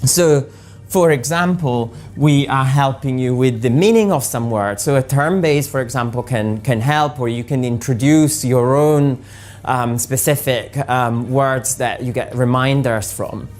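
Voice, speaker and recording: Very low-voiced woman explaining. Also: a little slow, darkish tone, soft background music. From Italy. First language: Italian. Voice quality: patient, explaining step by step with frequent ums. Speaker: software presenter. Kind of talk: explanatory talk about translation software.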